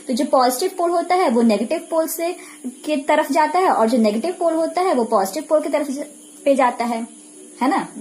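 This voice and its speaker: An Indian woman teaches in a distinctly high-pitched voice. She explains step by step in a didactic lecture style, asking questions and answering them herself. Steady noise is clearly audible behind her. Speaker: physiotherapy lecturer. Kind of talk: explanatory lecture mixing Hindi with English technical terms.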